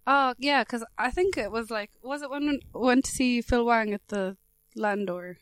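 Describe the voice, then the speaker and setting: A woman's voice: on the high side, moderate pace, clear, resonant tone, no echo. A woman hosting a casual, chatty interview. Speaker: interviewer, host.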